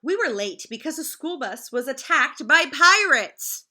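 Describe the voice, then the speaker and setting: A woman's voice: high-pitched, sing-song, measured pace, bright, forceful tone, exaggerated voice. A woman voicing eager, cheeky young schoolchildren from a storybook in an exaggerated, chirpy way. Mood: cheeky, loud.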